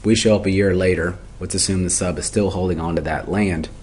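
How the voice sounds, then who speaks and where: A man's voice: deep voice, steady tone, a little fast, a little mellow, a little hiss or hum. A man giving an explanatory tutorial lecture as an instructor, methodical and step by step, often posing questions and then answering them. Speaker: accounting instructor.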